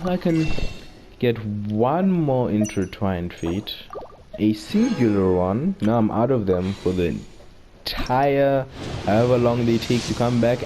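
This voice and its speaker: A man's voice: medium pitch, mellow. A man giving casual, playful gameplay commentary as the host, often muttering asides to himself. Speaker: gaming video host. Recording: noisy home gameplay recording.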